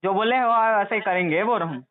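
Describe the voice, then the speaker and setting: A man's voice: high voice, quick pace, dry recording. A young man in a phone conversation, negotiating in a hesitant, deferential and pleading manner. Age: young adult. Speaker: job applicant on a phone call. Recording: tinny, narrowband phone call recording.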